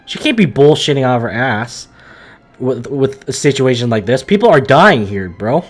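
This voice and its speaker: A man's voice: mid-range voice, very animated, normal speed, faint music behind. Casual, slangy male game streamer. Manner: slangy, stumbling, repetitive, emphatic. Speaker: game livestreamer. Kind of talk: casual live game commentary.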